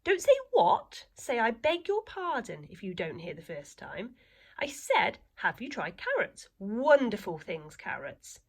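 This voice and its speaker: A woman voicing a prim, fussy elderly lady who scolds in a drawn-out way. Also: mid-range voice, sing-song, vocal fry, slightly rough, exaggerated voice. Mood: scolding.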